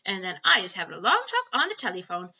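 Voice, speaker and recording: Woman doing cheeky boastful kid, storybook narration, emphatic, ungrammatical, bright sharp voice, lo-fi sound. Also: mid-range voice, huge pitch swings, quick pace, breathy edge, heavily acted. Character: boastful child recounting dreams, muddled grammar.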